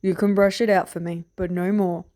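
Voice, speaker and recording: Woman acting a gruff, fond male warrior. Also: on the low side, level tone, normal speed, dark tone, close to an everyday voice. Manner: grumbling, rough-spoken, affectionate. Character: gruff, tender middle-aged male warrior, fatherly guard.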